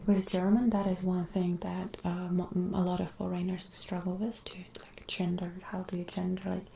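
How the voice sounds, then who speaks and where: Russian-native woman for a cosy ASMR stream, low gravelly whisper-talk, roomy echo. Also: completely flat delivery, normal speed, slight background noise. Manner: soft-spoken, slips between whisper and low talk. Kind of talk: casual whispered chat with viewers.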